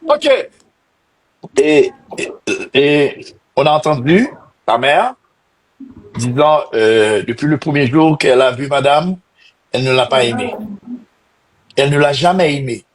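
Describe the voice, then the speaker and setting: A man's voice: mid-range voice, expressive, measured pace, pauses often. A Haitian-born man hosting an interview, reacting often with exclamations and affirmations. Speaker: host.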